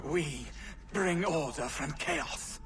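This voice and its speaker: A scornful, defiant villain, delivers strained game dialogue in a high voice at a slow, halting pace. There is clear noise behind him. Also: male voice, fairly bright tone.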